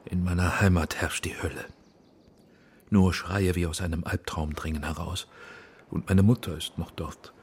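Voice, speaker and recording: A man's voice: deep voice, fairly even intonation, normal speed, husky, exaggerated voice. An actor playing a troubled, courteous middle-aged film director in a radio drama, speaking formally and with restraint, half under his breath. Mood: quietly desperate.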